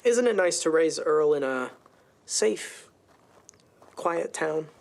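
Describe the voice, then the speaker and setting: A man playing a gentle young husband and father in an audio drama, speaking softly and soothingly. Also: a little high, expressive, slow, vocal fry, heavily acted. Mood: soothing, content. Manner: soft, soothing.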